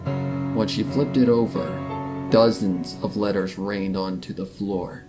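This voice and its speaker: Narrator for dramatic fan fiction, scripted read with music clearly underneath. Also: low-pitched, fairly even intonation, slow. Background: clear background music with guitar.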